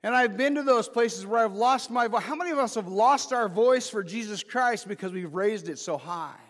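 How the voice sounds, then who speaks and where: A man's voice: high-pitched, lively intonation, moderate pace, fairly bright tone. A man in his fifties preaching an informal sermon as pastor, mixing joking asides with emphatic calls to the congregation. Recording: preached in a reverberant hall.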